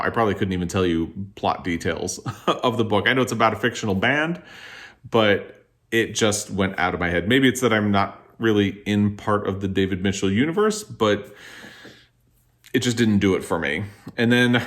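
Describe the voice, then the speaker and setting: A man's voice: lowish voice, fairly bright tone. A man talking casually and candidly as host of a book vlog, giving his opinions in a conversational, qualified way. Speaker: book vlogger, host of the video.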